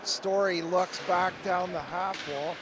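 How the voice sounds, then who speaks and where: A man with a cold, hoarse and losing his voice, calling ice hockey play-by-play in a steady, measured way from an arena. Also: sits high, noisy background. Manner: steady, measured call that climbs to shouting on goals. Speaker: play-by-play commentator.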